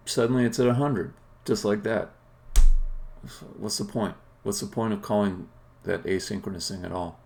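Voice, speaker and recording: A man who is a game developer, talking casually and haltingly as he thinks aloud during a live coding stream. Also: lowish voice, gravelly, darkish tone.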